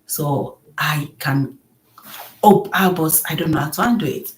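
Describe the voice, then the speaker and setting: A woman with a soft voice, earnestly telling an emotional personal story as a podcast host. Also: low voice, measured pace, low-quality recording. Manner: earnest, emotional, acts out quoted speech.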